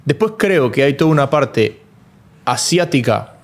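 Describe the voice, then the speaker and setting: A man's voice: mid-range voice, expressive, a little slow. A man from Ecuador co-hosting a casual football talk show, thinking aloud with frequent restarts. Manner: thoughtful, hesitant restarts. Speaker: co-host.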